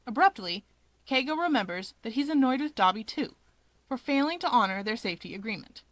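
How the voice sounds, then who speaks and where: A woman's voice: fairly high pitch, expressive, brisk, fairly bright tone, no echo. Woman narrating fiction, close and dry. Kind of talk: expressive fan fiction narration.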